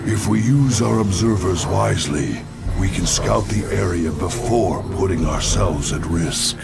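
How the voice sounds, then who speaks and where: A man playing a grave, weary old alien warrior mystic in a video game, with a gravelly voice and a solemn, portentous delivery. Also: sits low, a little slow, over loud music. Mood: watchful, resolute. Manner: solemn, theatrical, portentous phrasing. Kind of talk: dramatic scripted game narration and dialogue.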